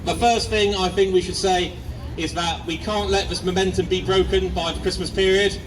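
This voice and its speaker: A man with a fairly high voice speaks at a street rally, impassioned but halting, with plenty of ums and uhs. He is caught from some distance away, and loud traffic and street noise fill the background. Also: steady tone. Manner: impassioned, hesitant with many fillers. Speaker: protest speaker. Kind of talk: outdoor rally speech.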